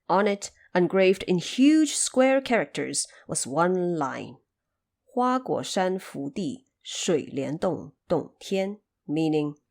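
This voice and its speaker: A woman storyteller giving an expressive, theatrical narration of a scripted storybook audio drama. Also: lowish voice.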